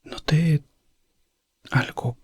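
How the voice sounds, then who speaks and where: A man narrating a medieval ASMR roleplay as an elf prince explorer, in a hushed voice that slips in and out of whispering. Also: drawn-out words. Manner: hushed, drifting between soft voice and whisper. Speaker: ASMR storyteller.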